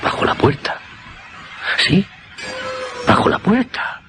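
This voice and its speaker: An actor with a Castilian Spanish accent playing a fearful, haunted magnetizer, halting and repeating himself in a dramatic radio suspense style. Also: male voice, music in the background, lightly acted. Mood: mounting terror. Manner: halting, breathy repetitions, dramatic. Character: haunted, fearful male magnetizer shaken by horror.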